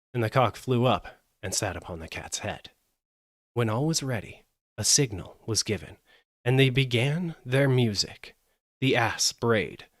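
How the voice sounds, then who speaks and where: A man narrating a fairytale as a live audiobook, with an expressive, measured delivery. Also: medium pitch, no room sound, studio quality. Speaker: storyteller.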